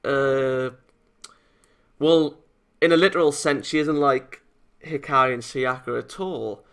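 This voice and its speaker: A man giving off-the-cuff let's play commentary, excitable and stumbling over his words. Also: mid-range voice, slow. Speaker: let's play host reading a visual novel. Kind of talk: off-the-cuff gameplay commentary mixed with read-aloud.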